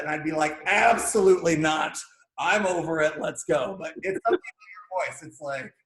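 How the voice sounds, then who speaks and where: Animated, jokey man in an echoey room. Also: a little high. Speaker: podcast co-host. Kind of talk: casual chat.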